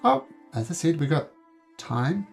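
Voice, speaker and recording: DM, New Zealand man, accent tinged North American, slightly husky voice, faint music under. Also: on the high side, sing-song, measured pace. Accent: New Zealand mixed with North American. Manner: earnest, with frequent ums and restarts. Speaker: dungeon master. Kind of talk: explaining game rules to players. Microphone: voice call microphone.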